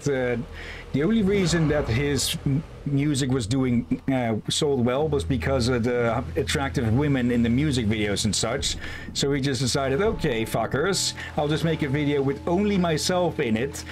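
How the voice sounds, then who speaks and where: A man's voice: mid-range voice, background noise. A man streaming a game, chatting casually and chuckling as he tells stories. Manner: relaxed, chuckling storyteller. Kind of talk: casual gameplay commentary. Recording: game music behind.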